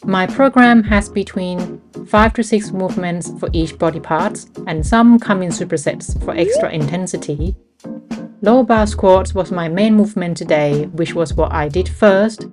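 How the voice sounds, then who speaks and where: A young woman narrating her fitness routine in a calm, chatty voice-over, lightly poking fun at herself. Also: lowish voice, moderate pace. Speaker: fitness vlogger. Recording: voice-over with music behind.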